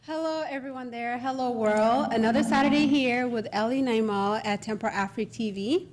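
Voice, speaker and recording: A woman hosting a talk show in an informal, encouraging, conversational way over a rumbly background. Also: moderate pace.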